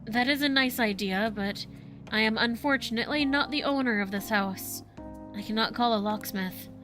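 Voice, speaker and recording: An actor playing a refined, earnest young male art student in a video game, speaking in formal, measured phrasing. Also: high voice, over music, close to an everyday voice. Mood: solemn.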